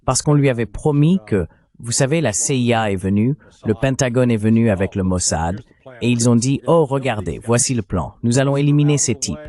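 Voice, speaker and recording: A man's voice: mid-range voice, fairly quick, dark tone. A man reading a translated voice-over of a political discussion in a blunt, opinionated way.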